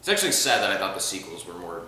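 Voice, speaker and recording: Guy ranting about a movie, kinda sarcastic, bright edgy voice, super echoey room. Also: low voice, racing. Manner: rambling, animated, sarcastic. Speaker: film reviewer. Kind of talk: casual chat. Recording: very echoey room, faint noise.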